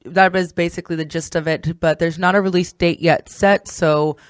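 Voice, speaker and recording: A woman hosting a podcast in a casual, halting, self-interrupting way. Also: on the low side, fairly even intonation, no room sound. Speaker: podcast host. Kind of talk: casual entertainment discussion.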